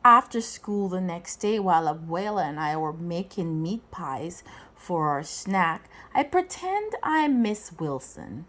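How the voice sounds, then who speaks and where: A woman reads a picture book aloud like a teacher to children. Her delivery is slow and deliberate, with a lively, swooping pitch and expressive phrasing that acts out the dialogue. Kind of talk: expressive storybook read-aloud.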